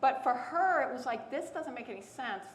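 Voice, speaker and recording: Panelist, woman, brightish voice, animated storytelling, roomy echo with faint hum. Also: sits high, moderate pace. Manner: animated storyteller, repeats words for emphasis.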